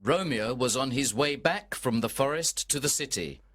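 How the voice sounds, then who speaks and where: A man narrating a storybook for learners from a script, in a measured, deliberate way. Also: mid-range voice, expressive, fairly bright tone.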